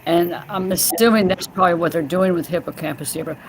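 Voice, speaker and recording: Lady on a video call, voice going scratchy, explains stuff slow with lots of uh's, faint hiss. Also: lowish voice. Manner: informative, hesitant with fillers, self-correcting. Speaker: guest in a group chat. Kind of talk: casual chat.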